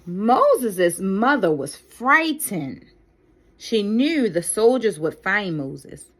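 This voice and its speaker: A woman storyteller reads a children's story at a very slow, deliberate pace. Her pitch sits in a middle range for a woman but swings dramatically up and down. She phrases carefully and expressively, as if for young listeners. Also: big pitch swings. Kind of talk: read-aloud children's Bible story.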